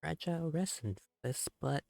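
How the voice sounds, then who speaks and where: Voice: mid-range voice, animated, normal speed, creaky, dry recording. A game streamer giving casual live commentary, muttering to themself in short fragments. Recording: loud game music behind voice.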